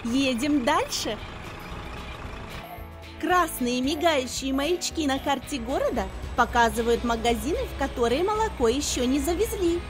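A woman's voice: sits high, expressive, a little slow. A woman narrating a children's educational cartoon, explaining things simply in scripted storytelling. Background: background music.

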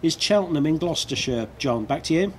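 A man's voice: on the high side, animated, brisk. A British man chatting casually as an amateur radio operator, with a hesitant, talkative delivery, recorded outdoors on a rooftop. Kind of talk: casual radio contact and outdoor video commentary. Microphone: video camera near the speaker. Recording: outdoor rooftop with clear background noise.

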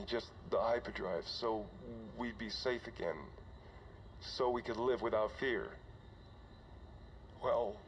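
An actor playing a weary, older starship commander, speaking in a halting, conversational way for a science fiction game audio log. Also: male voice, on the low side, lightly acted. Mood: grim, horrified. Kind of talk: dramatic audio log acting. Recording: dull, noisy cockpit log recording.

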